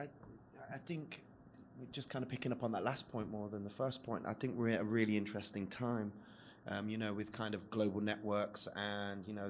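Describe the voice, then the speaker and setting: A man with a fairly low voice speaks earnestly on a live panel. He talks at length with many fillers, and a faint hum sits behind him. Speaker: panellist writer. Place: event venue, live audience.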